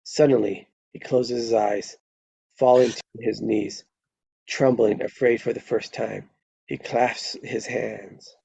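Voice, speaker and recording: A man's voice: medium pitch, fairly even intonation, slow, creaky, warm tone, breathy edge, no room sound. A man reading stage directions as narrator at a live screenplay table read, on a dull lo-fi microphone.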